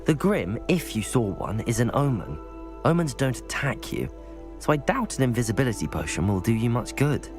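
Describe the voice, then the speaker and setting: An actor playing a courteous, level-headed young wizard student in a video game, reasoning in a gently skeptical way. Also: male voice, medium pitch, sing-song, normal speed, music in the background. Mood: calm, skeptical. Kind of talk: video game dialogue acting.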